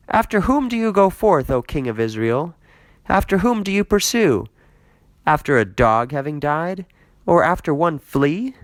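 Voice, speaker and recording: A man's voice: medium pitch, no room sound, pitched above the performer's own voice. A man reading scripture aloud, voicing a young man who pleads earnestly and reverently with his king in a raised voice.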